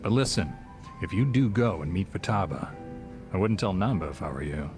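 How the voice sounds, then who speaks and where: An actor playing a calm, shrewd, discreet bartender in a video game, speaking in a measured, dry manner. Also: male voice, low-pitched, music in the background. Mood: calm, helpful. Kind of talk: dramatic game cutscene acting.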